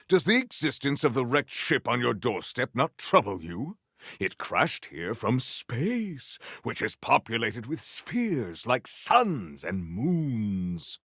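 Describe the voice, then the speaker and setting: An actor playing a formal, valiant, earnest warrior hero in a video game, with stately, theatrical diction. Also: male voice, lowish voice, fairly bright tone, dry recording, studio quality, exaggerated voice. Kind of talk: scripted video game character lines.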